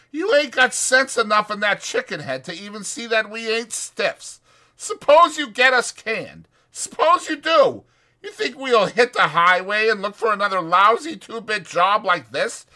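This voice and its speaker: High, blustering old ranch hand. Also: male voice, bright, forceful tone, full character voice. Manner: blustering, folksy rural American. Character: cranky, defiant old male ranch hand.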